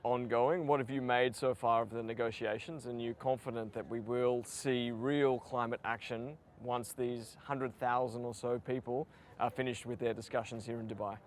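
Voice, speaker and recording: A man's voice: medium pitch, fairly even intonation, moderate pace, hardly any pauses. A man interviewing a guest as a news reporter, asking conversational, probing questions. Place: outdoors at a summit venue.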